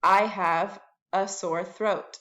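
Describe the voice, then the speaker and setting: A woman teacher at home giving a bilingual lesson, saying each English phrase slowly several times and then translating it into Spanish. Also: mid-range voice, steady tone, fairly bright tone. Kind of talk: bilingual classroom lesson, slow repeated drills.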